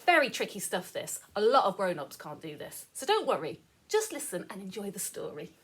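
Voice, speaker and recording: A woman's voice: mid-range voice, sing-song, brisk, breathy edge. A woman reading a story aloud to young children, playful and encouraging, often putting questions to the listener. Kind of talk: animated read-aloud with commentary for young children.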